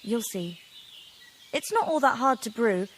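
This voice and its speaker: A woman's voice: a little high, expressive, unhurried, fairly bright tone, a little hiss or hum. An actress playing a curious, kind young village woman with frank, folksy speech, in a game cutscene. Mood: warm, grateful. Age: young adult. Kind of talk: video game cutscene acting.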